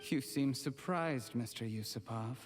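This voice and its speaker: An actor playing a resentful, scheming male underling in a video game, speaking in a measured, deliberate way. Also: medium pitch, natural voice. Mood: cold, vengeful. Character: resentful, scheming young male underling turned cold betrayer. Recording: long reverb with music underneath.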